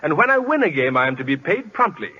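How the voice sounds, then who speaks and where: Male cocksure academic in vintage radio play: formal, earnest, animated pitch, dull broadcast sound. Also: fast, husky, heavily acted. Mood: businesslike. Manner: precise, formal, stammers when flustered. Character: earnest, cocksure male college scientist turned would-be pitcher. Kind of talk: 1940s-50s radio drama acting.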